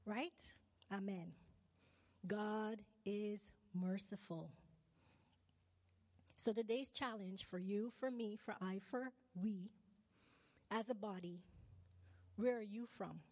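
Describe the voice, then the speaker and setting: A woman's voice: mid-range voice, slow, pauses often, a little mellow, no room sound, long reverb tail. A woman from Barbados preaching a sermon. She keeps asking the congregation questions and calls for "amen" and "right?".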